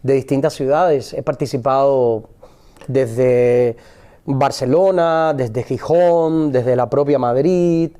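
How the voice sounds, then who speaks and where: A middle-aged man with a Cuban accent talks in a calm, reflective way, often pausing and starting his phrases over. His voice is dark and mellow and sits at a middle pitch. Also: clean tone. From Cuba. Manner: measured, reflective, with frequent hesitations. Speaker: actor and poet guest. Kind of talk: interview conversation.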